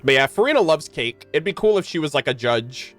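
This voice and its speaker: A man's voice: on the high side, lively intonation, brisk, fairly bright tone. A man giving casual, slangy live game-stream commentary and chatting to his viewers. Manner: slangy, informal. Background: game music.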